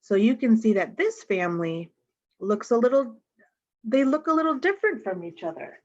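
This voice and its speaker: A woman's voice: fairly high pitch, expressive, normal speed, a little mellow, highs cut off. A woman reading picture books aloud to young children, talking to them directly and asking simple questions. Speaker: storytime reader.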